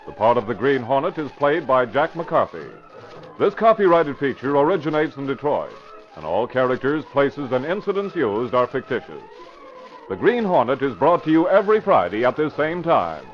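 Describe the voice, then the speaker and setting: A man's voice: mid-range voice, big pitch swings, moderate pace, muffled recording. A male 1940s radio announcer reads credits formally, with a mid-range voice that rises and falls broadly. Music plays behind him on a dulled vintage recording.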